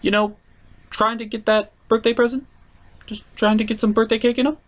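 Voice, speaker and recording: A man hosting a comedic cartoon episode review, sarcastic and swearing freely, jumping between shouts and mutters. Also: high-pitched, flat delivery, brisk. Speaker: host.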